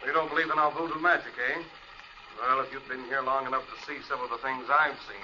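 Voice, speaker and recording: An insistent believer in voodoo, heard off an old film soundtrack. His voice is sharp and tinny, with a faint hiss. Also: mid-range voice, steady tone, fast. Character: insistent man who believes in voodoo magic.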